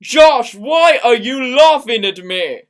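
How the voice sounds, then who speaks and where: A woman's voice: on the high side, animated, slow, pitched above the performer's own voice. A woman voicing a jealous, hurt and angry young girlfriend in a dramatic fan fiction reading. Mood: indignant.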